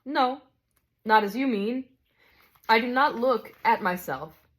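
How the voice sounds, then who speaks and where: A woman voicing a calm, joyful male spirit who speaks gently and reassuringly. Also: on the high side, slow pace, voice pushed up. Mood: serene.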